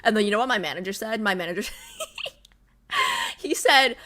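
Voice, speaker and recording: A woman's voice: on the high side, huge pitch swings, moderate pace, bright, forceful tone. A young adult woman streamer chatting casually with her viewers, bubbly and full of fillers. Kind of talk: casual chat with live chat.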